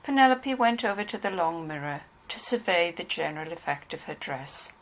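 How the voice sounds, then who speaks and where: A woman's voice: lowish voice, expressive, normal speed, rough recording. A woman narrator reading scripted narration from a dramatized novel.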